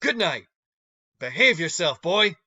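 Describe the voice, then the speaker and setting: A man voicing a stern but kind, motherly older woman in a storybook read-aloud, scolding in a folksy, emphatic, drawn-out way. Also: sits high, very animated, bright, forceful tone, lo-fi sound, full character voice. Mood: stern, caring.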